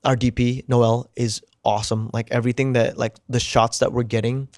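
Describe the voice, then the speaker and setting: A man whose first language is Indonesian, an actor chatting as a guest, animated and acting out quoted remarks with lots of "like" fillers. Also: mid-range voice, normal speed, no room sound, clean studio sound. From United States. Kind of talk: casual interview chat.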